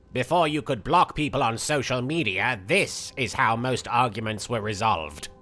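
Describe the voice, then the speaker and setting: A man's voice: medium pitch, sharp tone. A man voicing a cocky, flamboyant young anime villain who makes vain, petulant quips as in-character game commentary. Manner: theatrical, vain, quippy. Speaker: in-character let's player.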